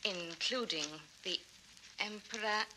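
An actress playing a polite, probing friend with careful enunciation in a 1960s children's record drama. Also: female voice, medium pitch, slow pace, bright, forceful tone. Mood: careful, inquiring. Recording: crackly 1960s vinyl record.

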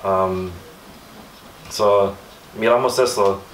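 A man in his thirties with an American accent, a native English speaker, giving an informal panel talk and haltingly searching for words. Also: lowish voice, fairly even intonation. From Southern California, United States. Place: small room with hard walls, indoors. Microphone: far camera microphone. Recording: distant mic, echoey room, faint noise.

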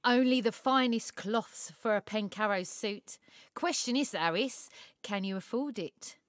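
An actress playing a shrewd assistant at a secretive tailor's shop in an audio story, talking in a blunt, wry, colloquial way. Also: female voice, medium pitch, a little slow, no room sound, studio quality, close to an everyday voice. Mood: sly, appraising. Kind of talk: dramatised audio short story acting.

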